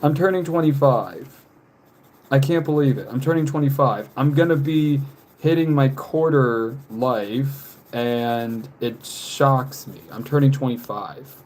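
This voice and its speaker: A man's voice: medium pitch, a little slow, darkish tone. A man in his twenties chatting casually on a live stream, rambling with frequent laughs and reading out viewer messages. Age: 20s. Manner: rambling, laughs often, reads chat messages aloud. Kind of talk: casual chat while drawing on a live stream.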